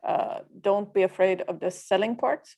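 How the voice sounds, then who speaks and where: A woman from Finland whose first language is Swedish, a freelancer guest on a podcast, speaking in a plain, practical way with frequent pauses. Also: mid-range voice, mellow. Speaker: guest, freelancer community co-founder. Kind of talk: podcast interview.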